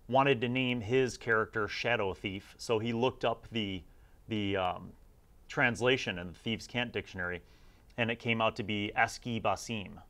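Low-voiced middle-aged man, casual reviewer. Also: fairly bright tone. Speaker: host of a gaming review series.

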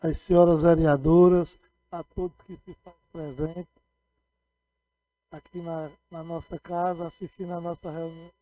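Middle-aged councilman, sore throat, high and monotone, repetitive shout-outs, dry handheld mic. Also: moderate pace, a little hiss or hum, low-quality recording. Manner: shout-outs to rural communities, repeats phrases, gestures widely. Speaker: city councilman. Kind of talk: council floor speech. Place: municipal council chamber, indoors. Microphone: handheld microphone.

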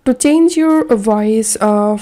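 Slow, mellow woman giving tutorial steps. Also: a little high. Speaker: software tutorial presenter. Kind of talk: step-by-step tutorial narration.

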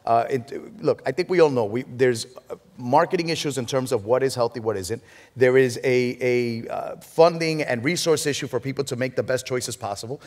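A man in his forties from Puerto Rico, speaking on a discussion panel and telling stories in an animated way. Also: medium pitch. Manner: rapid, animated storytelling. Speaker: panelist, state legislator. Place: large hall stage. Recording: reverberant hall.

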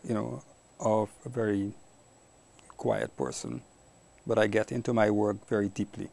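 A man's voice: fairly low pitch, sing-song, a little slow, creaky, darkish tone. A middle-aged man from Guyana with a Caribbean accent, giving reflective answers as an interview guest. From Guyana, later Trinidad and Tobago. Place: small indoor TV studio. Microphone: lapel mic.

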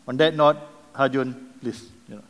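A middle-aged man at a podium in a big conference hall, his mid-pitched voice carrying a long reverb. He speaks casually, tossing in rhetorical questions and a habitual "right?". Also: fairly even intonation, normal speed, very noisy. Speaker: session host introducing the speaker. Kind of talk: speech from the podium. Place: large conference hall stage. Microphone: lectern microphone.

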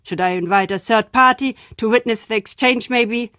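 A woman playing an enigmatic, foreboding traveling storyteller in an adventure game, stern and deliberate in her phrasing. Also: fairly high pitch, bright, forceful tone, no room sound, clean studio sound. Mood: wry, cryptic. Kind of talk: adventure game character acting.

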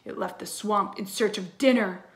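A woman voicing a young boy babysitter who spins a spooky monster tale slowly, with hushed, suspenseful emphasis. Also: mid-range voice, natural voice. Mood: ominous, teasing. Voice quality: hushed, breathy at times. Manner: slow, drawn-out, suspenseful emphasis.

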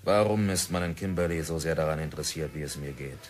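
Obsessed prospector, deep low voice, sardonic, vintage radio play. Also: male voice, fast talker. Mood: defiant. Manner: defiant, self-assured, sardonic. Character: stubborn obsessed male diamond prospector, middle-aged. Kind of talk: 1960s radio drama acting. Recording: old radio play with faint music.

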